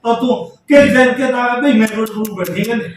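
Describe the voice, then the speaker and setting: A man with a strikingly high voice and a Rajasthani accent is caught on a very echoey, distant call recording. He argues aggressively, throwing out abuse and threats in a confrontational manner. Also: fairly quick. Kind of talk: heated argument on a recorded phone call.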